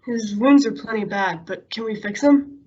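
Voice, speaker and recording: A woman's voice: mid-range voice, normal speed, darkish tone, slightly rough, highs cut off, close to an everyday voice. A woman playing a loyal, practical male sidekick in a radio western, terse and matter-of-fact. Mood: calm, helpful. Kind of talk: old-time radio western acting.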